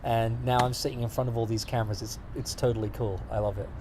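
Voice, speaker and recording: A man's voice: medium pitch, level tone, quick pace, background noise. A young British man, articulate, enthusiastic and wry, talking as an interview guest in a studio. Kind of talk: casual interview conversation. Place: studio in front of cameras.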